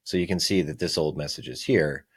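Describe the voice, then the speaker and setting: Very deep-voiced man presenting a software demo. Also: brisk, husky, no room sound, clean studio sound. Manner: explains step by step. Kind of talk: casual screencast tutorial narration.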